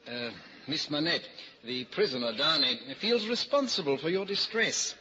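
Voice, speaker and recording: An actor playing a jaded, sardonic, hard-drinking lawyer in a theatrical vintage radio drama style. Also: male voice, fairly high pitch, lively intonation, moderate pace, sharp tone. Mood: cold, sardonic. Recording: dull, noisy old-time radio recording.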